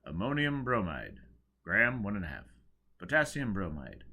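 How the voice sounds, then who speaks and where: Man doing an excited chanting cultist women's chorus. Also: fairly low pitch, moderate pace, husky, heavily acted. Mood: excited, ritualistic. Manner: chanting a recipe loudly, item by item.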